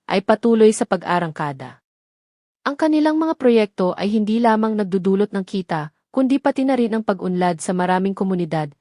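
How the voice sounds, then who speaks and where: A woman's voice: mid-range voice, darkish tone, no echo, clean studio sound. A woman with a Filipino accent reading scripted dramatic story narration.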